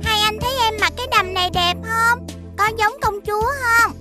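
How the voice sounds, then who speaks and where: A woman's voice: very high voice, moderate pace, over music. A woman voicing an eager, childlike little girl in a children's cartoon, with a Southern Vietnamese accent. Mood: delighted. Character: excitable, pleading little birthday girl. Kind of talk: animated children's cartoon dubbing.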